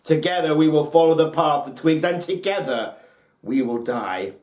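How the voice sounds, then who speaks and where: A man's voice: on the high side, animated, normal speed, darkish tone, voice pushed up, close to an everyday voice. A man playing a devoted, heartbroken young son in a folktale, speaking in an impassioned, declamatory way. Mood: anguished devotion. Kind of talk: dramatic folktale storytelling. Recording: roomy, echoing space.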